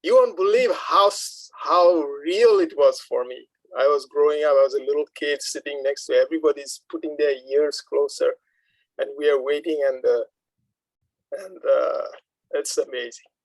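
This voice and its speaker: A man reminiscing fondly and haltingly in a casual group discussion on a video call. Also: a little high, no room sound, poor audio. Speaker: radio project participant.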